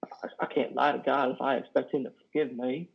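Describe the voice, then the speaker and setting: A man in his thirties playing a lonely, guilt-ridden, simple-minded trucker in a play reading, with halting, folksy speech. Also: medium pitch, flat delivery, gravelly, slightly rough. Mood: haunted, confessing. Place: indoor room on a video call. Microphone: headset. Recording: muffled video-call audio.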